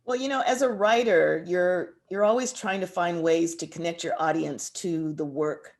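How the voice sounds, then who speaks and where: Woman author talking and reading, kinda low voice, reflective, stretches out key words. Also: moderate pace, a little mellow. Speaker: author and poet, guest. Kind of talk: reflective interview answers and poetry reading.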